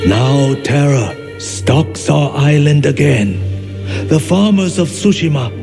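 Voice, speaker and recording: A reverent old-legend storyteller in a game, slow and solemn, loud music behind him. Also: male voice, fairly high pitch, lively intonation, gravelly, full character voice. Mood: grave storytelling. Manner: measured, solemn, dramatic storytelling with trailing deferential endings. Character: reverent male village storyteller recounting old legends to his lord. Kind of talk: scripted legend narration, game voice acting. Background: prominent music.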